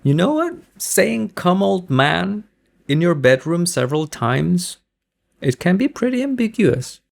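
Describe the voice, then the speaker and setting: A man's voice: high-pitched, very animated, a little slow, creaky. A man who does amateur voice acting, chatting casually and jokingly between takes. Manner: playful, joking. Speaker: amateur voice actor.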